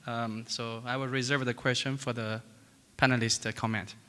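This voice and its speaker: A man from China, presenting research at a conference in a halting way with many fillers. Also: medium pitch, flat delivery, pushed and bright. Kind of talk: academic presentation. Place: large conference hall. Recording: long conference hall reverb.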